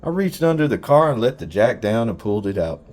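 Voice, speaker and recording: Low-voiced man narrating a story. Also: very animated, fairly quick, darkish tone. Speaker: audiobook narrator. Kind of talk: scripted first-person story narration.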